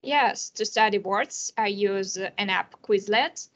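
A teenage woman with a Russian accent, answering questions carefully and pausing often to search for words. Her voice sits at an ordinary pitch, and the audio sounds a little dull and lo-fi. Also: a bit bright. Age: teens. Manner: careful, with frequent hesitations. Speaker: international student and podcast guest.

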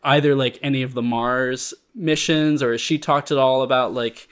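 A curious male interviewer feeling his way through his questions, with a lot of "like" and "um". His voice is mid-pitched and unremarkable. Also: fairly even intonation, moderate pace, clean tone. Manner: curious, hesitant, many fillers.

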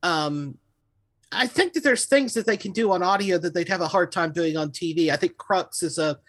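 A man's voice: high-pitched, moderate pace, fairly bright tone. A man co-hosting a podcast in a casual, analytical discussion. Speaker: podcast co-host.

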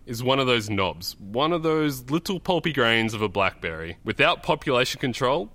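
Man playing a pompous, bright-voiced lecturing doctor. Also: medium pitch, no room sound. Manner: pompous, patronising, emphatic. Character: smug two-hundred-year-old male doctor, lecturing and condescending. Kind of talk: audio drama acting.

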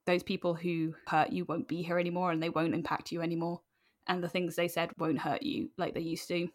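A British woman in her late twenties talking candidly and informally as an interview guest, with an animated, emphatic manner. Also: lowish voice, fairly quick. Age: late 20s. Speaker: podcast guest, writer.

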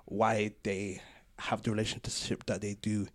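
A man's voice: on the low side, little pitch movement, a little slow. Casual man hosting a podcast. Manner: jokey storytelling, laughs often. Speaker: podcast host.

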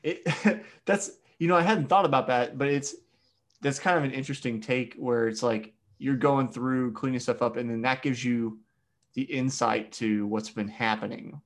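A man hosting a podcast, asking relaxed, reflective questions with plenty of fillers. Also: medium pitch, moderate pace. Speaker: podcast host. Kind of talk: podcast interview.

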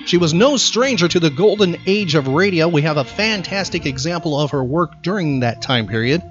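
A man's voice: on the high side, expressive, moderate pace, muffled recording, soft background music. A man hosting trivia as quizmaster in an emphatic, announcer-like radio style. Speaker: podcast host and quizmaster.

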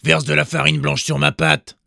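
A man voicing a cunning hungry wolf who fakes a gentle voice. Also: fairly high pitch, level tone, moderate pace, gravelly, dry recording, clean studio sound, pitched above the performer's own voice, full character voice. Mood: bossy. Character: sly hungry male wolf in disguise.

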